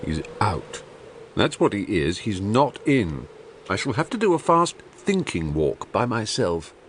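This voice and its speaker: A man's voice: on the low side, sing-song, unhurried, slight background noise. A British man playing a simple, good-natured, musing toy bear in a dramatized children's audiobook, with a bumbling, singsong manner.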